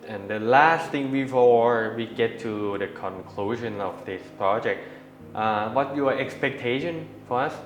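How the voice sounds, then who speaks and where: A man's voice: mid-range voice, measured pace, echoey room, soft background music. A man with a Thai accent, a native Thai speaker co-hosting a casual house tour and searching for English words as he talks. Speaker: co-host of a house tour channel.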